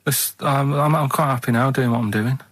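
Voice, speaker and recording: Man, halting colloquial talker. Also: mid-range voice, steady tone, a bit bright, no echo. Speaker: radio show co-host. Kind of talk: casual rambling radio chat. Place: radio studio.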